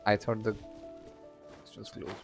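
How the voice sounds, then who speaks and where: A male game streamer talks casually in a low, gravelly voice. He is slow and halting, often muttering to himself, with faint music behind. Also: completely flat delivery. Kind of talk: casual live game commentary.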